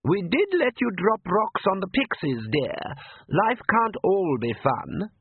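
Rich: a man playing a doting, prim vampire mother, high and sweet, who turns commanding when she is crossed. The sound is tinny and phone-like, and very dry. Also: sing-song, takes it slowly, husky, dry recording, heavily acted.

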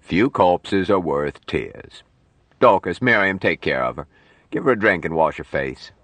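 A man voicing a gruff, sardonic old doctor who gives curt orders and dry wisecracks in an audiobook. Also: deep voice, expressive, measured pace, a bit bright, no room sound, heavily acted. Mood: gentle.

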